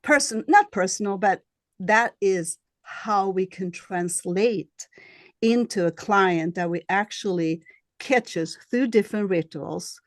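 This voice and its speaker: A woman with a Swedish accent, a healer being interviewed, who speaks in a halting way and searches for her words. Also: mid-range voice, dry recording. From Sweden. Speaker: guest, shamanic healer and astrologer. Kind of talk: casual interview.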